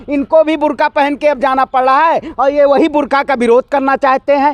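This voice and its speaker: A man's voice: very high-pitched, no echo. A man in a heated street political rant, combative and emphatic, firing rhetorical questions as he is interviewed. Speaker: political speaker being interviewed.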